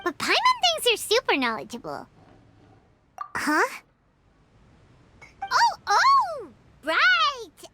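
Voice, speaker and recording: A woman voicing a tiny, excitable, childlike fairy companion in a video game, squeaky and easily flustered. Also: drawn-out words, pauses often, noisy background, full character voice. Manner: squeaky, flustered, refers to herself by name. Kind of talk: English video game voice acting. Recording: music clearly behind the voice.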